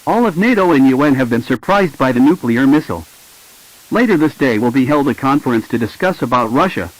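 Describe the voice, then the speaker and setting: A man's voice: mid-range voice, animated, brisk, low-quality recording. A man reading a scripted emergency broadcast announcement in a formal, impersonal way.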